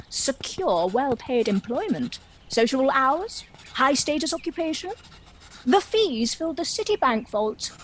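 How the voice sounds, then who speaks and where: A woman's voice: high-pitched, slight background noise, poor audio. A woman playing a proud, lonely, world-weary dragon in a comic play, with a theatrical, wry and indignant manner. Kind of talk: dramatic monologue in a comic play.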